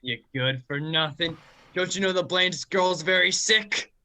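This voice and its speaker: A man's voice: high-pitched, a little slow, pushed and bright, full character voice. A man playing a harsh, scolding old druggist boss in a radio play, recorded over a home video call. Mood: angry, scolding. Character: angry, grief-stricken old male druggist boss. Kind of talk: 1940s-style radio play acting. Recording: home video-call audio, some background noise.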